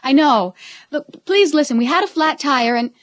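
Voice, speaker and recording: A woman's voice: sits high, lively intonation, moderate pace, dry recording. An actress in a radio drama playing a sensible, slightly exasperated teenage girlfriend with a casual, girlish manner. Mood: placating.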